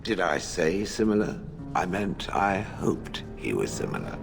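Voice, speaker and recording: A disdainful fantasy game warrior. The voice is deep and creaky, delivering dry, terse remarks deadpan over clearly audible music. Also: male voice, measured pace, heavily acted. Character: stern, disdainful, dry-witted foreign warrior. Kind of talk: video game voice acting. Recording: music behind.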